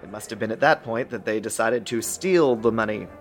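An actor playing a measured, secretive government intelligence official in a radio drama, speaking in a dry, deliberate way. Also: male voice, lowish voice, soft background music, lightly acted. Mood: explanatory.

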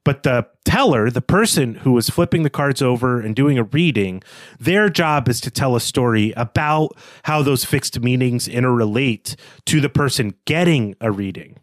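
Male podcast co-host, mid-pitched, reflective and analytical, discussing books in a very dry room. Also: expressive, normal speed, no room sound.

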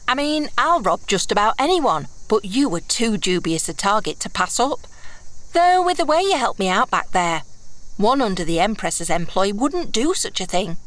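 A woman's voice: medium pitch, very animated, normal speed, a bit bright, poor audio. A woman playing a brash, wry, self-assured thief with a dry, teasing manner in a fantasy audio drama. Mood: sheepish.

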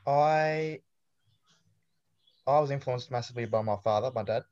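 A man's voice: medium pitch, moderate pace, darkish tone, lo-fi sound. A young Australian man in his twenties, chatting casually as an interviewee with a laid-back, storytelling manner and dry humor. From New South Wales, Australia. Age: 20s.

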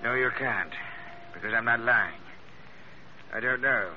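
An actor playing a defiant, threatening villainous ship's captain in an old radio drama, taunting and stubborn. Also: male voice, medium pitch, measured pace, natural voice. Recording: muffled, noisy vintage radio recording.

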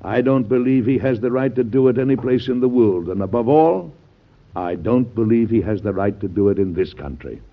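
An actor playing a principled, stubborn American president in an old-time radio drama, with an earnest, formal, declamatory manner. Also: male voice, medium pitch, normal speed, natural voice. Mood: earnest, resolute. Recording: muffled vintage radio recording.

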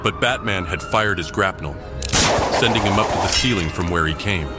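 A man narrates a scripted audio drama in a deep voice. His delivery is dramatic storytelling. Music plays clearly behind him. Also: normal speed.

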